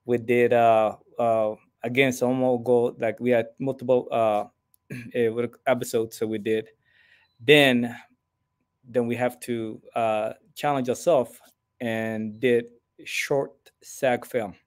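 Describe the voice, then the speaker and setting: A man from Somalia, guest on a video-call interview, talking haltingly with many fillers. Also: mid-range voice, little pitch movement, smooth. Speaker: guest filmmaker. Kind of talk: casual interview. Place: home room.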